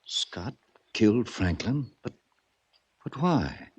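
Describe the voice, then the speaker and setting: An actor playing an anxious, desperate rich man hunted by a killer, husky and faltering, in 1940s radio drama style. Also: fairly low pitch, lively intonation, slow, exaggerated voice. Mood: nervous. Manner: faltering, agitated, pleading.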